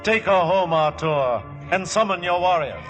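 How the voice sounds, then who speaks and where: A man's voice: high voice, animated, moderate pace, loud music behind the voice. An actor playing a sardonic, commanding ancient king in a 1950s radio drama. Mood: lordly, amused. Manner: imperious, sardonic. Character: haughty, sardonic Philistine king, commanding ruler.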